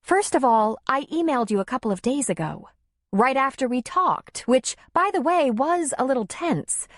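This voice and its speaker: A woman's voice: expressive, normal speed, dry recording, studio quality. A woman reading a storybook, voicing a hurt, demanding woman who pleads with her lover in a raised, tearful voice. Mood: indignant. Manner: high, tearful, insistent.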